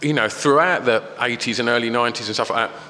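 A man hosting a podcast, asking questions in relaxed casual chat with many yeahs and restarts. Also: mid-range voice, fast, soft background music. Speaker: podcast host. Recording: long hall reverb.

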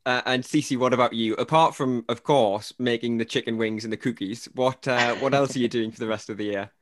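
A man's voice: mid-range voice, fairly even intonation, a little fast, no echo. A man with a Geordie accent co-hosting a podcast, bantering in a jokey, wry way. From North East England. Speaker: podcast co-host. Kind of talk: casual podcast chat.

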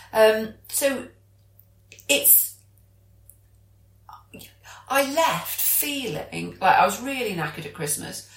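A woman's voice: on the high side, fairly bright tone. A British woman co-hosting a podcast in casual, chatty conversation, explaining things as she goes. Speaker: podcast co-host. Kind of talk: casual chat. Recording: roomy, echoey sound.

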